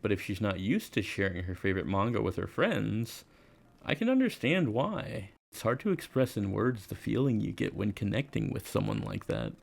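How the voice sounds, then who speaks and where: A man's voice: fairly low pitch, very animated, normal speed, creaky, exaggerated voice. A man playing an easygoing, self-deprecating teenage schoolboy, casual and reflective, in first-person visual novel narration and dialogue.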